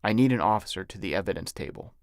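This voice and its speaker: A man's voice: on the low side, expressive, quick pace, dry recording, studio quality. A man reading an audiobook as a stern, suspicious male agent with a curt, authoritative manner. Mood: weary, softened.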